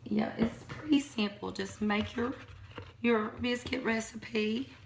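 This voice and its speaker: A hoarse woman, chatting casually as she shows her home cooking in a kitchen. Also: a little high, steady tone, slow. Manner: chatty, rambling, folksy. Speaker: home cook. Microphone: phone or camera on counter. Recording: noisy home recording.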